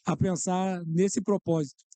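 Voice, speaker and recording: This is a man hosting a podcast. His voice is very dark and mellow, recorded dry and close. He opens grandly and jokes about himself. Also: sits high, steady tone, a little slow, no room sound. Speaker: podcast host. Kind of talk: theatrical show host introduction.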